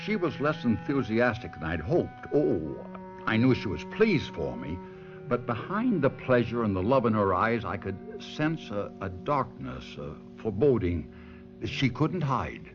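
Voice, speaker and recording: A man's voice: on the low side, big pitch swings, a little slow. An actor playing a devoted but restless husband, a ventriloquist telling his own story in a 1970s radio drama. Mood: reflective. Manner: dramatic, reflective first-person storytelling. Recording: muffled radio broadcast, music behind.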